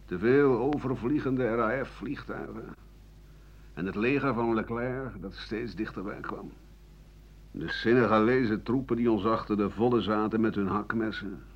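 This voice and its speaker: Husky, bitter old man. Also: lowish voice, unhurried. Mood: weary, sardonic. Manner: rambling, coarse, sardonic, stammering at times. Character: bitter, self-justifying old French doctor-writer looking back. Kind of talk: radio play monologue. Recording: dull lo-fi 1980 radio recording.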